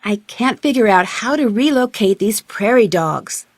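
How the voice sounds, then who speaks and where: A woman playing a curious, confident young amateur detective in a video game, speaking in a clear, inquisitive, polite and self-assured way. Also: mid-range voice, lively intonation, unhurried, no echo, clean studio sound.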